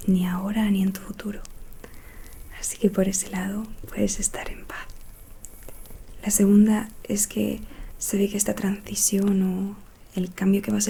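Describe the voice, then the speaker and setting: A woman whispering in a breathy, soothing, hushed way, playing a calm, mysterious witch fortune teller in an ASMR roleplay. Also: medium pitch, a little hiss or hum. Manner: slow, hushed, soothing delivery. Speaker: ASMR performer.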